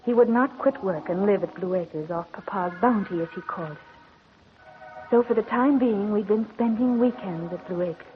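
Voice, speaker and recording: An actress playing an anxious, devoted young married daughter in an old-time radio drama, earnest and theatrically emotional. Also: female voice, medium pitch, normal speed, close to an everyday voice. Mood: sorrowful narration. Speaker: narrator and lead character. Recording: lo-fi noisy old radio recording.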